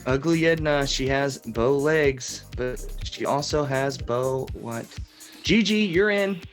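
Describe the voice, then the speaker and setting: A man's voice: mid-range voice, lively intonation, slow, faint music behind. A man hosting an improvised comedy game show, reading out questions and scores to the contestants.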